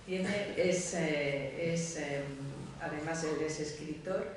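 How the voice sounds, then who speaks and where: Male panel moderator, flat and measured, hesitant delivery, slightly higher voice, distant echoey hall sound. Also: a little hiss or hum. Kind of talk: introducing panel guests. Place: lecture room.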